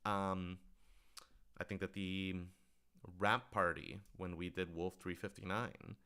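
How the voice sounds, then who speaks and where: A man's voice: low-pitched, fairly even intonation, slow, bright, forceful tone, clean tone. A man hosting a casual livestream Q&A as a writer-director, rambling and thinking aloud as he talks to his audience.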